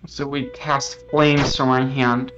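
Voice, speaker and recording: A man's voice: medium pitch, flat delivery, slow pace, dull audio, over loud music. A man being interviewed as a game developer, explaining things in an earnest, hesitant way.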